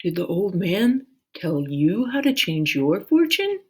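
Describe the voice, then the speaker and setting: A woman voicing an excitable, devoted young male dragon in a storybook, with exclamatory, sputtering speech. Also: lowish voice, slow pace, husky, full character voice. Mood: curious.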